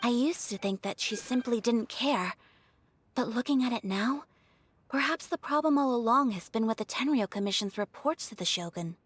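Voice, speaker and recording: An actress playing a calm, thoughtful young noblewoman who speaks in a measured, formal way. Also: on the high side, slightly rough, no echo. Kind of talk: game cutscene dialogue.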